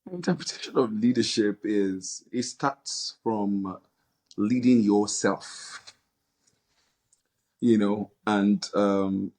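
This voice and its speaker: A man's voice: fairly low pitch, slow, darkish tone. A man with a Nigerian accent answering interview questions in an earnest, hesitant way, searching for words. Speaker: interview guest, non-profit leader.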